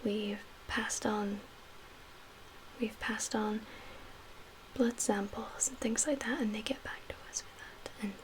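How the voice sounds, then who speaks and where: A woman playing a calm, guarded survivor leader in a soft-spoken ASMR roleplay, half-whispering in a measured, reassuring way with pauses. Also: medium pitch, lightly acted, rough recording. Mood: weary, resolute. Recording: audible background noise.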